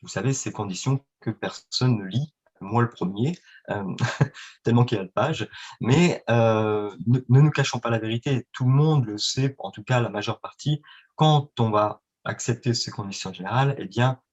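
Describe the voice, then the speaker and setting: A man's voice: mid-range voice, normal speed, darkish tone, lo-fi sound. Didactic man. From France or Italy, Franco-Italian. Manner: didactic, wordy, rhetorical questions. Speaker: guest, marketing agency representative. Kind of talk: explanatory interview talk, promotional.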